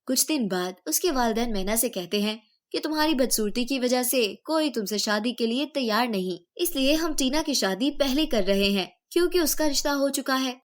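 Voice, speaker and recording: A woman narrating a moral story in an even, storytelling delivery. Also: sits high, moderate pace, few pauses.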